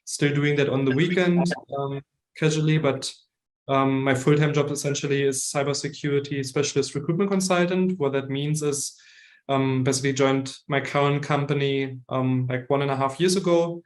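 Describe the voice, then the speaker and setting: A man's voice: medium pitch, steady tone, moderate pace. A young man from Germany with a German accent, speaking casually and conversationally as a guest expert in an online session. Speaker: guest expert, recruitment consultant. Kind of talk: casual self-introduction to an online audience. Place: online video meeting.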